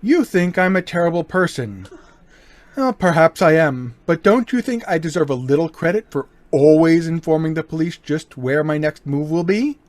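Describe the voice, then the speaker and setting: An actor in an amateur old-time radio play, voicing a smooth, mocking gentleman burglar with a lively, swinging delivery. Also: fairly high pitch, moderate pace, dry recording, lightly acted. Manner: smooth, mocking, turns menacing. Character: suave, cocky male gentleman burglar.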